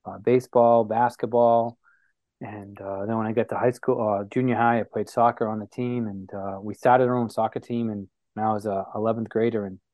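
A man from New Bedford, Massachusetts, a guest on an interview show, recounting his past reflectively with many pauses and fillers. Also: fairly low pitch, steady tone, a little mellow, no echo.

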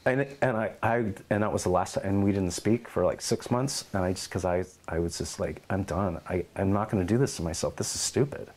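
A middle-aged man in an oral history interview at his home, telling lively stories and acting out quotes. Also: sits low, a little fast, hardly any pauses. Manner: animated storyteller, acts out quotes and meows.

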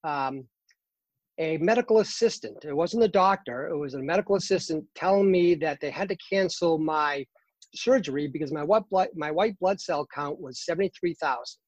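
A man's voice: on the high side, dry recording, low-quality recording. Earnest man in his 50s with a Boston accent. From Boston, Massachusetts. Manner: earnest, halting, many fillers. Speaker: interview guest. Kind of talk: casual interview over a call.

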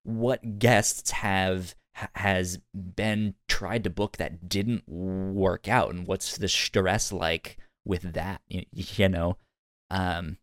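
A man hosting a podcast, chatting casually and haltingly, often repeating words as he works out his thought. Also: sits low, fairly even intonation, dry recording, studio quality. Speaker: podcast host. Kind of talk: casual review discussion.

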